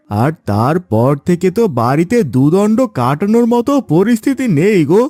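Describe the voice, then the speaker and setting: A man's voice: a little high, mellow, no echo, clean studio sound. A man voicing an exasperated village farmer husband in a cartoon fairy tale, with a theatrical, complaining delivery. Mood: weary, downcast.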